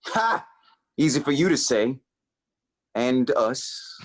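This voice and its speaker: An actor playing a goofy, sincere young student in a comedy table read, with a casual, playful delivery. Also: male voice, mid-range voice, big pitch swings, slow. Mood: earnest, mocking.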